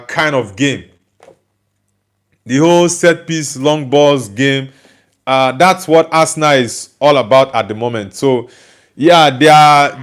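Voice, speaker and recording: A young man with a Nigerian accent hosts a casual live football stream. His voice sits a little high. He talks loosely, with plenty of fillers and restarts as he answers the chat. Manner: loose, hesitant talk with many fillers and restarts. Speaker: football channel host reading live chat.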